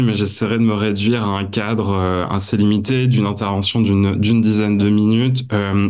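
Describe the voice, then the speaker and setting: A man from northern France, an investigative journalist in his thirties, speaking at a round-table with frequent fillers and restarts. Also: on the low side. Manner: hesitant, many fillers and restarts.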